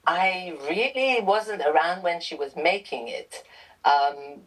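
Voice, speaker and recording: Woman from iran, author guest, deep low voice, talks slow and thoughtful, lots of ums. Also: rough recording. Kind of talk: interview answers.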